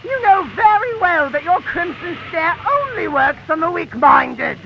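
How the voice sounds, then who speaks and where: Very high, creaky, gloating supervillain. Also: male voice, very animated, pushed and bright, full character voice. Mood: gloating menace. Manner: melodramatic, drawn-out taunting delivery. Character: hooded male supervillain, gloating, theatrical madman. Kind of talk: 1940s radio serial acting. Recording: dull old-time radio sound, faint noise.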